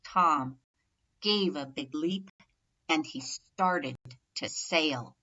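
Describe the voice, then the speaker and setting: A woman reading a rhyming children's story aloud expressively, measured and emphatic on the rhymes. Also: low-pitched, sharp tone, dull audio. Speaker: storybook reader.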